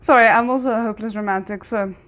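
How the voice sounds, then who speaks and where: A woman giving casual, chatty, expressive reaction commentary on a TV show, often breaking off mid-thought. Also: a little high, quick pace. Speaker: reaction host.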